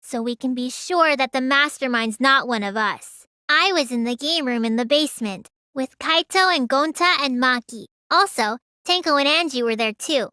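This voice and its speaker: A woman's voice: sits high, moderate pace, hardly any pauses, pushed and bright, clean tone, no room sound, clean studio sound. A woman voicing a small, childlike teenage girl mage in an anime video game, earnest and pouty, stammering when flustered. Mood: pondering.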